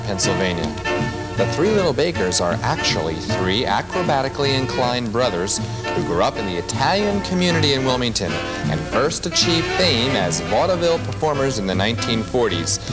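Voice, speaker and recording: Lively 1980s local TV presenter. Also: male voice, fairly low pitch, poor audio. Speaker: TV host and narrator. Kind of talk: scripted narration. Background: music.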